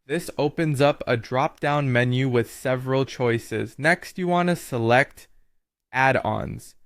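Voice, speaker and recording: Male narrator, medium pitch, scripted tutorial style, instructional, step-by-step delivery. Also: takes it slowly, fairly bright tone, no room sound, clean studio sound.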